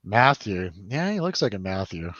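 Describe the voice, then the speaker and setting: A man's voice: lowish voice, very animated, normal speed, fairly bright tone, dry recording. A man hosting a casual film review chat, talking in a loose, hesitant, easygoing way over a slightly lo-fi recording. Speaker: host of a film review show.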